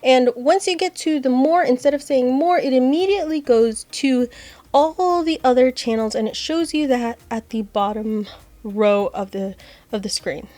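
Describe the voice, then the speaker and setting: A woman's voice: high voice, moderate pace, dark tone. A woman hosting a tech vlog, talking casually through an app demonstration. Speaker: host of an accessibility tech channel.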